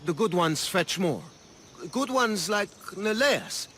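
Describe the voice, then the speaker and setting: An actor playing a panicky, self-serving schemer of ancient Greece in a video game, anxious and pleading. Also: male voice, high-pitched, lively intonation, slow pace, sharp tone, slight background noise, full character voice. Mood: grudging, uneasy. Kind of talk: video game character acting.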